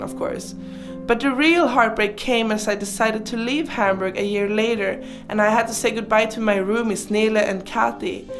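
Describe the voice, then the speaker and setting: Chatty young woman over clear music. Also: medium pitch. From Sweden. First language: German. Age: 20s. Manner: chatty, informal, playful asides. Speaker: video creator narrating her life. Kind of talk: casual personal storytelling voice-over.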